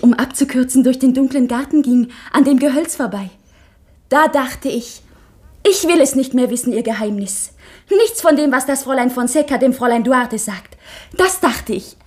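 An anxious young schoolgirl from an old radio play confides in a high, airy voice. She talks intensely and falters when emotion rises. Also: lively intonation, normal speed, a little mellow, breathy edge. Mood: confiding. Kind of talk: 1960s radio drama acting.

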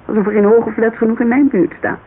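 A woman's voice: fairly high pitch, steady tone, fast talker, close to an everyday voice. Actress as a blunt, headstrong woman, crackly narrow-band radio. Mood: challenging. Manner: blunt, insistent, exasperated. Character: headstrong, blunt businesswoman client pressing a detective. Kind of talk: Dutch radio drama acting, conversational. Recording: narrow, noisy, creaky-sounding old radio broadcast.

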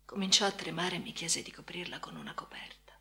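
An actress playing a haunted, obsessive woman in an intimate radio drama monologue, breathy and often whispering, with slow, halting, intense phrasing. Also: lowish voice, lively intonation, pushed and bright, exaggerated voice. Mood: hushed, reverent. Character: haunted, obsessive female amputee confiding in her chosen successor. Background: music.

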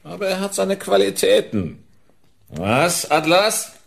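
An actor playing a fussy henchman minding his dim brute brother, theatrical and drawn-out, in a radio crime comedy. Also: high-pitched, very animated, creaky, full character voice. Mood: confiding.

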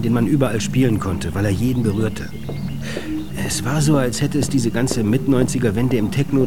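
An actor playing a reflective club DJ reminiscing about techno in a radio drama monologue. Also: male voice, fairly low pitch, natural voice.

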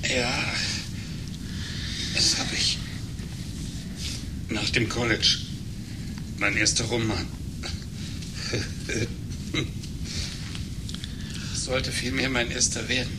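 An actor playing an agitated, embittered failed writer in a German radio play, speaking haltingly and accusingly. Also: male voice, medium pitch, fairly even intonation. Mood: bitter, halting. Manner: halting, emotionally wrought, accusing. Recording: noisy 1980s radio play recording.

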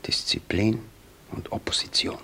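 A man's voice: normal speed, sharp tone, whispered. A man playing a stern, controlling political radical in a radio drama, terse and commanding. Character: stern, controlling young radical party comrade.

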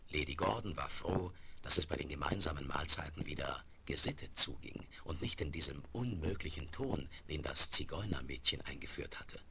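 A man's voice: rumbling low, expressive, normal speed, vocal fry, hardly any pauses, full character voice. A man narrating a children's audio drama as a storyteller, on a crackly seventies vinyl record. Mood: measured storytelling. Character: male storyteller narrating a horse adventure.

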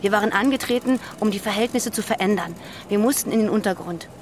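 Actress as defiant West German underground militant, female, medium pitch, terse, radio drama, faint vehicle ambience. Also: fast talker, a little hiss or hum, natural voice. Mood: defiant, righteous.

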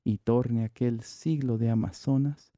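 Dark, mellow-voiced man reciting classical verse. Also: medium pitch, steady tone, measured pace, no room sound, clean studio sound. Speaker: monologue reader. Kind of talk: dramatic monologue recitation of classical verse play.

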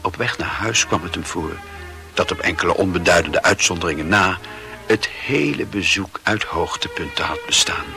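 A man reading scripted literary narration as the narrator of a radio play. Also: sits low.

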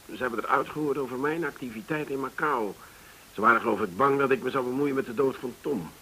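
A man's voice: mid-range voice, fast, bright, forceful tone, poor audio. An actor playing a determined, inquisitive man in a Dutch radio drama, with a direct, questioning way of talking. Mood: hesitant, polite. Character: determined, inquisitive man resolved to confront an enemy. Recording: hissy old radio recording.

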